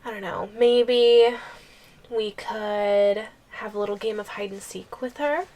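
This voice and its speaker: A woman's voice: mid-range voice, steady tone, mellow, lightly acted. A woman playing an obsessive giantess girlfriend in an ASMR audio roleplay, coy and hesitant, with playful, sweet menace. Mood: teasing menace. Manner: slow, coy, hesitant phrasing with teasing menace.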